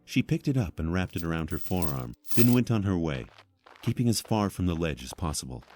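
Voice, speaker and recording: A man's voice: low-pitched, big pitch swings, fairly quick, warm tone, slight background noise. A man reading dramatic scripted fantasy narration as a storyteller.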